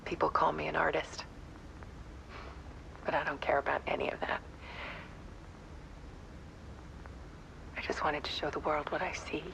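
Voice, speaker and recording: An actress playing an exhausted, haunted woman photographer in a horror game, speaking in a hushed, halting, breathy whisper. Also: sits low, a little hiss or hum, exaggerated voice, rough recording. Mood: grim resolve. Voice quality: breathy whisper with creaky, sharp edge. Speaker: actor in a live-action game cutscene. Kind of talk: confessional monologue, horror game live-action acting.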